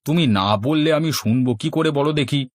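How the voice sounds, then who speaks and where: A man's voice: fairly high pitch, fairly quick, natural voice. A man playing a weary village husband in a cartoon, exasperated and placating. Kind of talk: Bangla cartoon dialogue.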